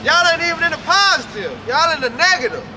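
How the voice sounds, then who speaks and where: A man's voice: very high voice, very animated, fast talker. A man street preaching outdoors in an emphatic, call-and-response manner. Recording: outdoor street recording with traffic and crowd.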